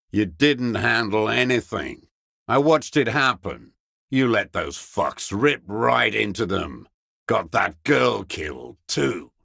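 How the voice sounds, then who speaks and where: An actor playing a bitter old communist deserter in a video game, scornful and unrepentant, with a gravelly voice and a contemptuous, sneering manner. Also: male voice, low-pitched, sharp tone, dry recording, studio quality, exaggerated voice. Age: older. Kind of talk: dramatic video game character dialogue.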